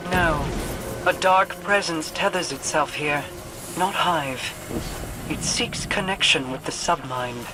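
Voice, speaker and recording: A woman's voice: low voice, music in the background. A grave, regal warrior queen. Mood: watchful. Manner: formal, solemn, measured. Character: regal, grave female queen and seer advising war. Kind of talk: scripted game dialogue.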